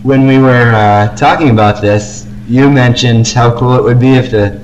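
A man's voice: mid-range voice, steady tone, normal speed, lo-fi sound. A man co-hosting a casual fan podcast, talking about things he likes with effusive enthusiasm. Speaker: podcast co-host. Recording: noisy amateur podcast recording.

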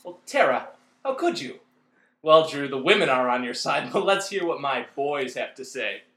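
A man's voice: medium pitch, sing-song, moderate pace, bright, forceful tone, roomy sound. A man co-hosting a comedy podcast, bantering in a mock-dramatic manner, recorded in a room. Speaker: co-host.

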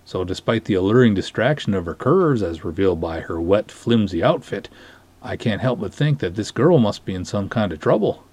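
Male dramatic reader, slightly low and husky, formal wording, dry close sound. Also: expressive, normal speed, darkish tone. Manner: formal, wordy, theatrical delivery. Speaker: podcast host reading a short story aloud. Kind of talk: dramatic reading of narrated dialogue.

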